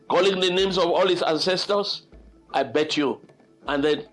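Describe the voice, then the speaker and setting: Older male storyteller with a high-pitched voice. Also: vocal fry. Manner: expressive, dramatic, exclamatory delivery. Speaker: storyteller host. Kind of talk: informal spoken storytelling and reflection.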